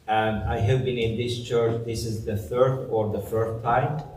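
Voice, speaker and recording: A man's voice: mid-range voice, fairly even intonation, noisy background. Syriac-speaking man in an echoey hall. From southeastern Turkey. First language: Syriac Aramaic. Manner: unhurried, plain-spoken storytelling with frequent fillers. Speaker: guest speaker. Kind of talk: personal testimony in conversation. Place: church hall. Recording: echoey hall, far from mic.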